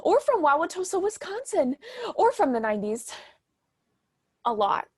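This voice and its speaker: A woman's voice: high voice, very animated, normal speed, darkish tone, no room sound, clean studio sound. A woman playing a doting but overbearing mother in a stage comedy, gushing and reciting with pompous theatrical grandeur. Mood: wistful, aggrieved.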